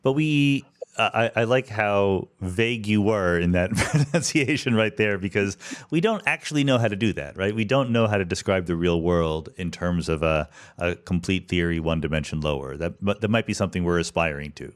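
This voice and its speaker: A man's voice: sits low, normal speed. A man hosting a podcast, interviewing a guest in a conversational way. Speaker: podcast host.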